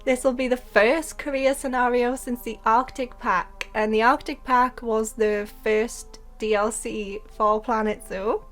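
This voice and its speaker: A young Welsh woman with a Welsh accent, chatting excitedly about a game update and then calmly explaining her building steps. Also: on the high side, measured pace, few pauses, soft background music. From Wales. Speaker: game content creator. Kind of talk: casual gameplay commentary.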